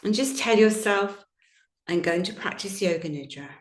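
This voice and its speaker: A woman teaching yoga nidra, guiding a relaxation meditation in a gentle, soothing, unhurried manner with long pauses. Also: mid-range voice, lively intonation. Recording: roomy, echoing sound.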